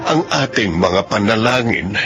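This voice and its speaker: A man's voice: lowish voice, expressive, a little slow, vocal fry. A man playing an emotional, devout brother in a Filipino radio drama, speaking in an earnest, heartfelt, dramatic way. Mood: reflective, happy. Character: emotional devout man reunited with long-lost older sister. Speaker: drama actor and first-person narrator. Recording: dull radio sound under loud music.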